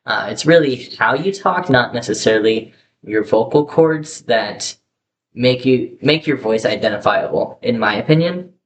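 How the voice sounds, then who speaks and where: A young man talking candidly to his camera in a casual vlog, rambling with lots of fillers and restarts on a low-quality microphone. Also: expressive, normal speed. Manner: chatty, candid, rambling with many fillers and self-corrections. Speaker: vlogger. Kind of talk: casual personal talk to camera. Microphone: poor camera microphone. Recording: faint background hiss, low-quality mic.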